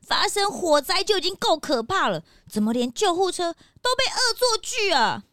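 A woman playing an excitable, sympathetic young townsperson in a children's audio drama. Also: extremely high pitch, normal speed, dry recording, studio quality, heavily acted. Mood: alarmed.

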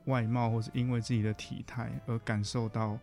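A man's voice: mid-range voice, level tone, fairly quick, darkish tone. Man, Taiwanese accent, mid-range voice, reflective guest counselor, casual interview talk, rephrases his points, music.

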